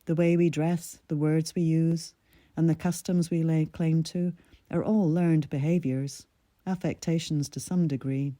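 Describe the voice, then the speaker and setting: A woman in her sixties from Scotland, with a mid-Atlantic accent carrying a Scottish lilt, reading her own personal essay in a measured, reflective way. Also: low voice, steady tone, warm tone, no room sound, studio quality. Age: 60s.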